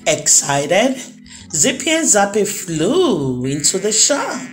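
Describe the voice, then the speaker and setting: Slow, animated woman storyteller. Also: medium pitch. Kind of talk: expressive children's read-aloud narration. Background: music.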